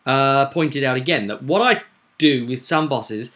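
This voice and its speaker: A man's voice: medium pitch, moderate pace, a bit bright. A man hosting a vidcast as game master, chatting casually and animatedly to viewers as he rambles through a roleplay session recap.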